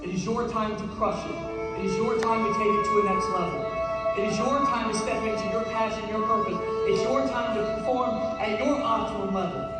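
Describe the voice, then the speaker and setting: A motivational stage speaker delivering a rousing, repetitive speech that builds to shouts, at a large event hall. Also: mid-range voice. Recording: distant voice in echoey hall with loud music.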